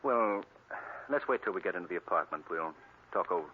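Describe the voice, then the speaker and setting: An actor playing a decisive, urbane detective in crisp, commanding 1940s radio style. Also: male voice, sits low, animated, a little fast. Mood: composed, polite. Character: decisive, urbane male amateur detective, confident and urgent. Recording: tinny, hissy 1940s radio recording.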